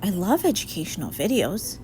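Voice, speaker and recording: A woman's voice: mid-range voice, sing-song, a little fast, dark tone, close to an everyday voice. A woman voicing an earnest, polite young schoolboy who is easily troubled, in a storybook reading. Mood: eager. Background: music.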